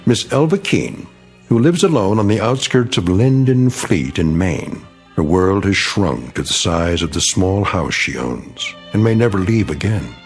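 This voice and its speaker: An actor as a grave, mysterious anthology narrator, delivering scripted radio drama narration with measured, ominous phrasing and dramatic pauses. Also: male voice, sits low, big pitch swings, breathy edge, soft background music, full character voice. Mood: grave, foreboding.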